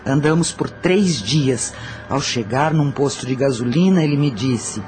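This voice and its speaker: A man's voice: medium pitch, expressive, a little slow, darkish tone, soft background music. A man with a Brazilian accent narrating a scripted first-person audio story.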